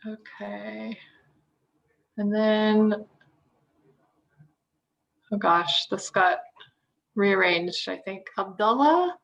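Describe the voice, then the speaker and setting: A woman's voice: mid-range voice, extremely slow, vocal fry, long pauses, dull audio. A woman hosting an orientation video call, calling on each person in turn with a friendly, upbeat manner. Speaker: orientation host.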